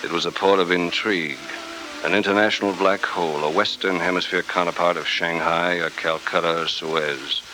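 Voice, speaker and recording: An actor playing a hard-boiled American adventurer in 1940s radio style, clipped, tough and wry. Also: male voice, low-pitched, fairly even intonation, moderate pace, close to an everyday voice. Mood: wry narrating. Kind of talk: 1940s radio acting, scripted first-person narration. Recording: very noisy 1949 radio transcription.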